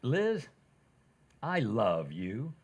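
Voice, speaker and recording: Very low, husky woman as unromantic radio husband. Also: wildly swooping pitch, very slow, darkish tone, heavily acted. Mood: teasing. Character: George, oblivious, teasing, unromantic husband. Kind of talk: old-time radio comedy acting.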